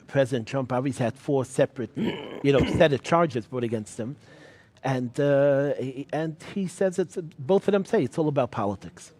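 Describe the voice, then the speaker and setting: Measured man explaining local politics. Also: mid-range voice, lively intonation, a little mellow. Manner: measured, many fillers and restarts. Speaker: political writer guest. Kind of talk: interview answers.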